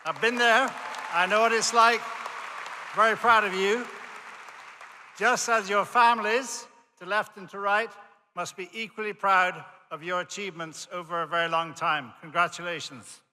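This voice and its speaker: A man's voice: sits high, fairly even intonation, normal speed, bright, forceful tone, a faint crowd, slight background noise. An older man from Jamaica with a Jamaican accent, giving a friendly, storytelling commencement speech from a podium. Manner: friendly, storytelling, self-deprecating. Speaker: commencement speaker. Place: large hall. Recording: reverberant hall.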